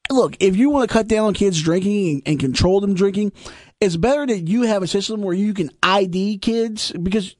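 High-voiced wisecracking young man, dry sound. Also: fairly quick, no room sound. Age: 20s. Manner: wisecracking, sarcastic, swears freely. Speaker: radio show co-host. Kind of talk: casual crude banter.

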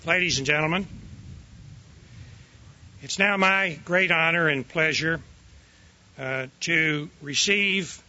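A man presiding as judge speaks slowly and formally in a very sharp, tense tone. He sounds far from the microphone on a dull recording. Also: a little high. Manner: slow, halting formal speech. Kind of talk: formal courtroom remarks.

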